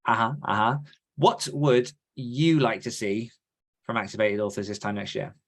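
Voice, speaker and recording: A man's voice: lowish voice, fairly even intonation, normal speed. A man co-hosting a podcast in a casual, informal chat style, thinking through plans as they talk. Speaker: podcast co-host.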